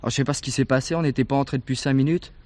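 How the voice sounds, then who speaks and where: An actor as a fast-talking cheeky young lad. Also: male voice, medium pitch, steady tone, darkish tone, no echo, lightly acted. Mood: offhand, grudging. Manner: slangy, insolent, offhand. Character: cheeky, defensive working-class teenage lad with slangy speech. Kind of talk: French radio drama dialogue.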